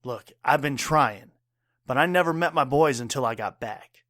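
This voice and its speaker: A man's voice: mid-range voice, moderate pace, fairly bright tone, dry recording, clean studio sound, natural voice. An actor playing a proud, wounded war veteran father and bartender in an audio drama, speaking earnestly and tersely. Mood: pained, proud.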